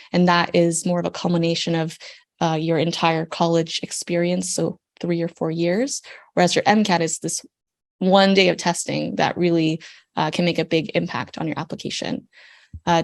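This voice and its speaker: A woman's voice: lowish voice, vocal fry, a little mellow. A young woman from Canada, a surgical intern, answering interview questions in a friendly, explanatory way with frequent ums. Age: young adult. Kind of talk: interview answers, giving advice.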